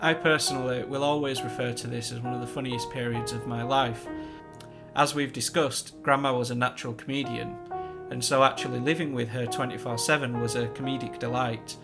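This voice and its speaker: A man's voice: medium pitch, steady tone, a little fast, gravelly. A man narrating a eulogy he wrote for his grandmother, telling fond stories. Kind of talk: scripted narration. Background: guitar music.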